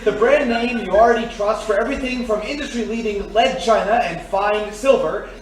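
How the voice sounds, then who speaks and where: A man's voice: high-pitched, moderate pace, natural voice. A man playing an earnest old-time radio announcer, declaiming like a salesman in a 1940s style. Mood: salesmanlike. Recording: very echoey, distant, faint noise.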